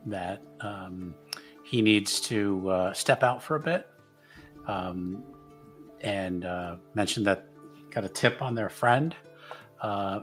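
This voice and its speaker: A man with a fairly low voice talks casually as a tabletop game player. He hesitates and pauses often while improvising what his character does. Music plays in the background. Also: fairly bright tone.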